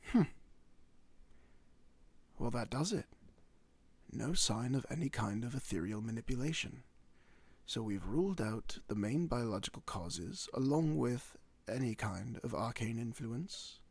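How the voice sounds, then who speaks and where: A man playing a courteous werewolf doctor in a fantasy ASMR roleplay, speaking formally and reassuringly with old-fashioned wording and a husky voice. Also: mid-range voice, expressive, a little slow, darkish tone. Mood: inquisitive, calm.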